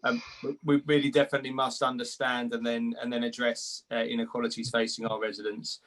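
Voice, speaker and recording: A man talks off the cuff as a panellist in a mid-pitched voice. His intonation stays quite flat, and he proceeds haltingly, repeating words as he works out each thought. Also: poor audio. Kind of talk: unscripted panel remarks.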